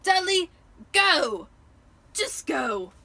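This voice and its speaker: A woman's voice: very high voice, very animated, pushed and bright, pitched above the performer's own voice, exaggerated voice. A woman voicing an angry, bossy middle-aged uncle with a snapping, strained delivery in a storybook reading. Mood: furious, wheezing. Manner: snapping, wheezing, forced slow delivery. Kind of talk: dramatic amateur audiobook reading.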